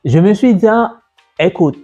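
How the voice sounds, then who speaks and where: Man, entrepreneur vlogger, warm husky voice, dull lo-fi sound with faint music behind him. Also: sits high, expressive, measured pace. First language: French. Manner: conversational, repeats himself, many fillers. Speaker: entrepreneur and business coach. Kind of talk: personal story told to camera.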